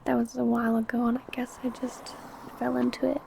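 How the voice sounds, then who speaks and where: Woman playing a sly, hushed, creaky-voiced vampire coworker. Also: a little high, little pitch movement, a little fast, a little hiss or hum. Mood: evasive, defensive. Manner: hushed, chatty, coy, drifting into whispers. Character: secretive female vampire coworker, older senior, sly and possessive. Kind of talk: ASMR roleplay addressed to the listener.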